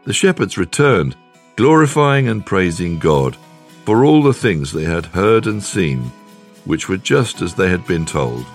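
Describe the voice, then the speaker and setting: Deep-voiced man reading a devotional. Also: big pitch swings, measured pace, faint music behind. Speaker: devotional reader and host. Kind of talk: scripted devotional reading with scripture and prayer.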